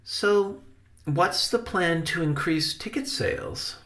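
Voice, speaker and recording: A man's voice: sits high, expressive, slow pace, a bit bright, pitched above the performer's own voice, exaggerated voice. A man voicing an eager, curious young boy who asks questions in a storybook reading.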